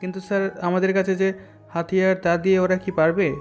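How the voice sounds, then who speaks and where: A man plays a deferential young-ranking sailor in an audio story. He is uneasy and speaks rapidly in a somewhat high voice over music. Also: little pitch movement, darkish tone, natural voice. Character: respectful, uneasy junior navy soldier questioning his commander. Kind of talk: audio drama acting. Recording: music bed under the voice.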